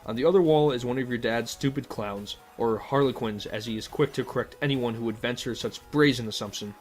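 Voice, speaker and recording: A male narrator reads a scripted second-person story with a measured, dryly formal delivery. His voice sits at a middle pitch, with soft music playing behind it.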